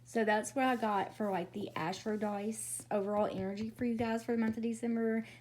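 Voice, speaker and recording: Chatty woman, raspy from a cold. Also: fairly high pitch, steady tone, fairly quick, a little mellow. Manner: chatty, fills with "okay?" and "like". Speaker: tarot reader. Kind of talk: casual tarot reading.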